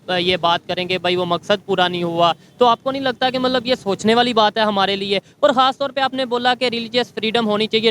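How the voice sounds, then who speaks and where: A man's voice: high-pitched, fairly bright tone. A man with a Pakistani accent hosting an interview, asking long, conversational questions. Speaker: street interviewer, host. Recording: clear outdoor ambient and vehicle noise.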